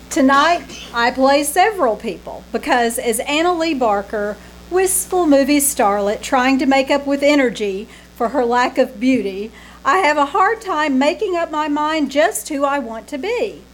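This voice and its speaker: A woman with a high voice hosts a vintage-style radio drama. She delivers a scripted star introduction from a live stage, with slight noise behind her. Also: low-quality recording.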